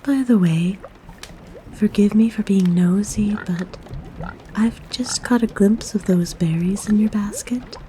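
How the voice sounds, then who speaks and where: A woman playing a kind, flirtatious forest witch in a soft, breathy, gently coaxing ASMR roleplay. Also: medium pitch, measured pace, a little hiss or hum, natural voice. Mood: reassuring.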